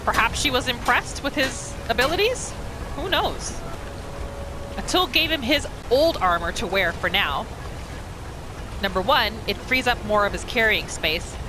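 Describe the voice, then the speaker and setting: A woman gaming host narrating a roleplay playthrough in a casual, expressive, playful storytelling style. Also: on the high side, a little slow, background noise.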